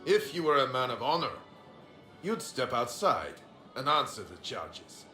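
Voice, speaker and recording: A man's voice: mid-range voice, very animated, unhurried, roomy sound, over loud music. An actor playing a haughty, belligerent nobleman in a fantasy video game, pompous and sneering. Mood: scornful.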